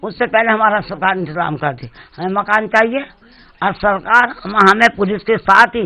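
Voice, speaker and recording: Creaky, sharp-voiced older woman, pleading. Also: medium pitch, normal speed. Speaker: bereaved mother interviewed for news. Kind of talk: spontaneous, pleading testimony.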